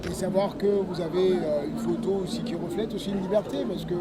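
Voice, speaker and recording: A man's voice: high-pitched, steady tone, a little fast, a loud crowd. A man interviewing people casually on location, outdoors at a festival. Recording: loud outdoor festival noise.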